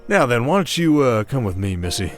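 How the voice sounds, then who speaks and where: An actor playing a world-weary, gruff werewolf sheriff with an American Western drawl, folksy and scolding, in an ASMR roleplay. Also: male voice, medium pitch, sing-song, fairly quick, soft background music, lightly acted. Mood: stern, annoyed. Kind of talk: ASMR roleplay acting, Old West.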